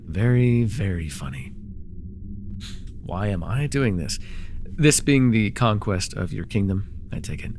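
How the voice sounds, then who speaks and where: Casting: male actor as a conquering sorcerer villain for fantasy roleplay audio, sly and mocking, very animated pitch, faint noise behind. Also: measured pace, long pauses. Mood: sardonic, musing. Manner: theatrical, mocking, drawling. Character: conquering dark-magic villain ruler, sly, haughty, taunting.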